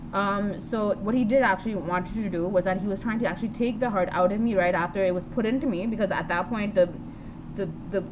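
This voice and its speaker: A woman talking in an unscripted video diary, rambling and jumping from topic to topic, blunt and quick to swear. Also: mid-range voice. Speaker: vlogger. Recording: steady background noise with passing vehicles.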